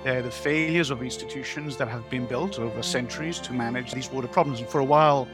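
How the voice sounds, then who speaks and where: A man, a water expert and author, speaking in a measured way with emphatic pauses. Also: mid-range voice. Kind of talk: reflective interview talk. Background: background music.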